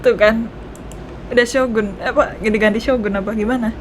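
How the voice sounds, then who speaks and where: High-voiced, excitable Indonesian woman gamer. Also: fast, slight background noise. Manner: excitable, repeats phrases. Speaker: game streamer. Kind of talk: casual reaction commentary over gameplay. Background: game music.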